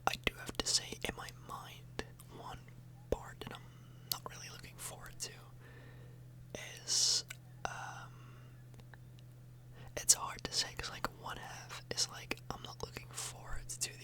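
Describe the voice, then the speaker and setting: A woman speaking in a breathy, unvoiced whisper close to the microphone, rambling informally and a little hesitantly in an ASMR style. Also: bright, forceful tone, rough recording. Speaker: ASMR video host.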